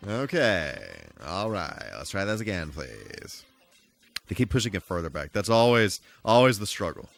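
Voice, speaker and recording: A man's voice: fairly low pitch, big pitch swings, moderate pace. A man giving casual live commentary while playing a video game, full of mild folksy exclamations and mock-exasperated asides. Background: music.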